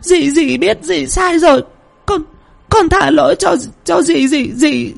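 A man's voice: very high voice, animated, takes it slowly, a little mellow, pitched above the performer's own voice, heavily acted. A man voicing a frightened, guilt-ridden older woman who stammers and pleads. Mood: pleading.

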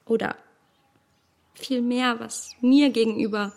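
A self-possessed young gentlewoman with a rather high voice. She speaks earnestly and with measured, gentle reproof, in the manner of a period radio play. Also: fairly even intonation, vocal fry. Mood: earnest reproach. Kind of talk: radio drama acting.

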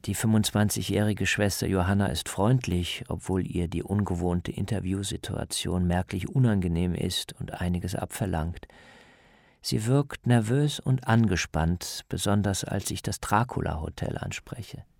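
Gravelly low-voiced man narrating a documentary. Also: normal speed, a little mellow, no room sound, studio quality. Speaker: reporter and narrator. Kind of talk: scripted radio documentary narration.

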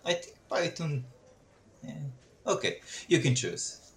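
A man hosting a casual podcast, asking questions and making dry, deadpan jokes. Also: a little high, slow pace. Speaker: podcast host.

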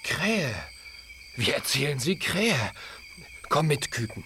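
A performer playing a plucky, resourceful young piglet in a children's radio play, expressive and determined. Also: mid-range voice, slow pace, noisy background. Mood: inventive, eager.

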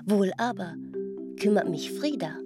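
Female actor as stylised storyteller, slow emphatic recitation, defiant, loud xylophone-like music behind, literary radio drama. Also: mid-range voice, lively intonation, lightly acted. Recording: loud mallet music over voice.

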